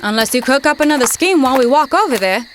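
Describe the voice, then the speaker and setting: A woman's voice: high-pitched, expressive, fast talker, fairly bright tone, a little hiss or hum. An actress playing a worried but practical young woman adventurer, terse and direct, in an audio drama. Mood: brisk.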